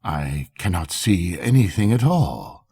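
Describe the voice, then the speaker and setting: A man's voice: deep voice, big pitch swings, slow, dark tone, slightly rough, exaggerated voice. A man voicing an anxious old minister, earnest and exclaiming, in a storybook reading. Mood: worried, flustered. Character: poor old male minister, anxious and flustered.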